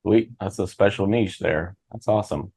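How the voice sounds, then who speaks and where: A man's voice: low-pitched, normal speed, creaky, mellow, breathy edge, dry recording. A man hosting a podcast interview, casual and informal, encouraging his guest. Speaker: podcast host, author.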